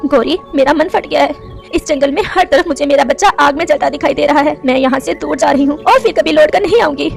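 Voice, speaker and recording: A grieving mother sparrow, played by a woman, speaks in a high, plaintive voice. She laments her lost child in quick, melodramatic cartoon style, with music underneath. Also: gravelly. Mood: heartbroken. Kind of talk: melodramatic Hindi cartoon story acting.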